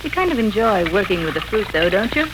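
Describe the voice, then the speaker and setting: A woman's voice: mid-range voice, very animated, quick pace, over music, natural voice, poor audio. An actress playing a patient, instructive mother guiding her son in a 1940s radio drama. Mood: gently teasing.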